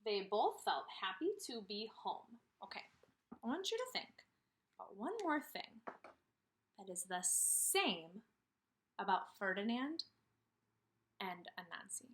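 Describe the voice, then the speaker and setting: A woman leads a read-aloud lesson for small children. Her pitch moves around in a lively way at an ordinary female height. She uses simple, repeated phrases and questions that leave room for answers. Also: a little slow, long pauses. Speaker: kindergarten teacher.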